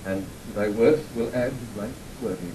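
A man's voice: mid-range voice, normal speed, natural voice. An actor with a British accent playing a stern, authoritative king in a classical Shakespearean audio drama. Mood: regal, measured. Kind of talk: classical Shakespearean radio acting. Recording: echoey, distant, faintly noisy 1960 recording.

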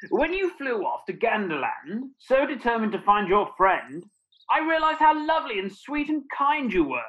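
A British man playing a courtly, softhearted country squire in a theatrical radio pantomime. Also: very high-pitched, big pitch swings, moderate pace, dull audio, full character voice. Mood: earnest, smitten. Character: country squire, pompous gentleman suitor turned softhearted.

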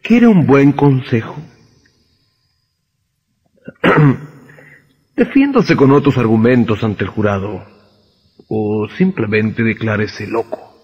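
Casting: authoritative judge for radio horror, rough-edged voice, muffled vintage sound. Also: male voice, medium pitch, lively intonation, slow pace, long pauses. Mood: stern, dismissive. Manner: grave, authoritative. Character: stern, dismissive judge. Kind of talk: old radio horror drama acting. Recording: muffled old radio recording, long reverb.

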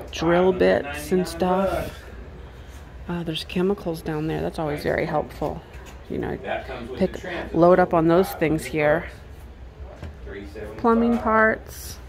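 A woman vlogger giving casual commentary as she shops, half murmuring to herself while browsing. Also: on the low side. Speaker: vlogger, antiques reseller. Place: house and garage at estate sales. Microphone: handheld phone or camera.

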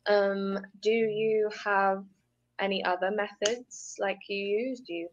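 A woman's voice: medium pitch, fairly even intonation, slow. A young British woman, an English tutor, chatting encouragingly with a student over a video call from her home. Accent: British with some American tinge. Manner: encouraging, chatty, laughs easily. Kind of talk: casual online tutoring chat. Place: indoors at home. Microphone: earphone mic on a video call. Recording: slightly dull video-call audio.

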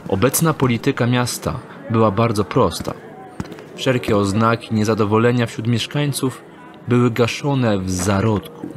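A man narrating a radio drama in a calm, measured storytelling manner. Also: medium pitch, background noise. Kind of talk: scripted audio drama narration. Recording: narration over music score.

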